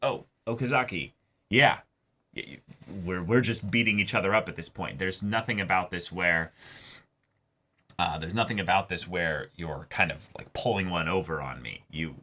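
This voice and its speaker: A man's voice: low voice, moderate pace, sharp tone. A man co-hosting a reaction show, talking casually and emphatically, working through his points with digressions. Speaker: co-host of an anime reaction show. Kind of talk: casual discussion.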